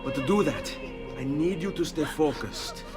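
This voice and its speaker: A determined young nobleman, voiced by a man, speaks earnestly in an Italian accent. His voice runs fairly high with a lively pitch. Orchestral game music plays underneath. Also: moderate pace, close to an everyday voice. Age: young adult.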